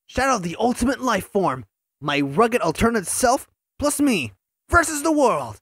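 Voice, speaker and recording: An eager young male hedgehog hero with a husky, bouncy voice that leaps up and down in pitch, played by a woman. The sound is dry and close, with no room around it. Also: sing-song, measured pace, no echo, heavily acted. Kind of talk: fan audio drama acting.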